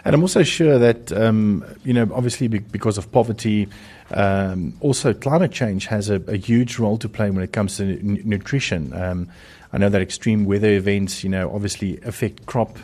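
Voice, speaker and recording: A man's voice: lowish voice, moderate pace, dark tone. A man with a South African accent hosting a radio talk show in a studio, chatty and rambling with many fillers.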